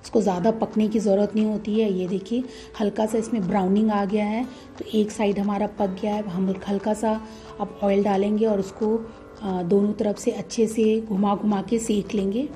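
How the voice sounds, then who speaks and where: A woman giving calm, step-by-step cooking instructions as a voice-over, with frequent "uh" fillers. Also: mid-range voice, normal speed, soft background music. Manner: calm, instructive, many "uh" fillers, mixes English words into Hindi. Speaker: cooking channel host. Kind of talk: recipe voice-over while cooking.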